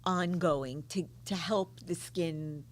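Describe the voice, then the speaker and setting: A woman's voice: lowish voice, slow. A woman hosting a casual interview, asking her guest questions in a chatty style. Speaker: interviewer, host.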